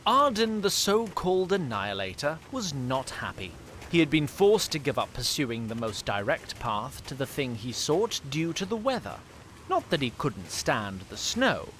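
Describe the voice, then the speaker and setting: Animated male fantasy narrator. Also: fairly high pitch, moderate pace, a little hiss or hum. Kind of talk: dramatic scripted fantasy narration.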